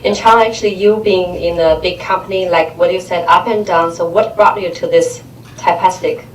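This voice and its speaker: A woman's voice: lowish voice, steady tone, moderate pace, echoey room, far from the mic, a little hiss or hum, low-quality recording. A woman moderating an onstage interview, asking short prompting questions. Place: conference stage in a large room.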